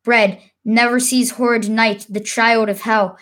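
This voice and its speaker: A woman's voice: a little high, fairly even intonation, measured pace, a bit bright. A woman reading the part of a troubled, brooding king in Shakespearean verse, declaiming and sometimes restarting a stumbled word. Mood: weary, solemn. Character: troubled young warrior king, brooding on burdens of rank.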